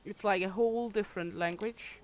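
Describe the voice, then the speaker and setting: A woman's voice: medium pitch, a little fast. A middle-aged Danish woman with a thick Danish accent, chatting candidly with her livestream chat while playing a game. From Denmark. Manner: chatty, candid, reads and answers chat aloud. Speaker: streamer.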